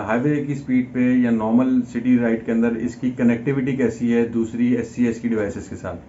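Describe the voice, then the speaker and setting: A man's voice: mid-range voice, dark tone. Man explaining in dull, lo-fi audio. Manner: conversational, mixes English terms into speech. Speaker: product reviewer, host. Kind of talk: unboxing and review talk, explanatory. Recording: dull lo-fi sound, faint background noise.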